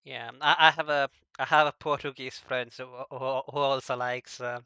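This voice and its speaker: A man with a mid-pitched, bright voice hosts a casual interview. He is crisp and dry on the recording, yet halting and unsure, often stammering into his sentences. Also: level tone, no echo, muffled recording. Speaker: interviewer, host.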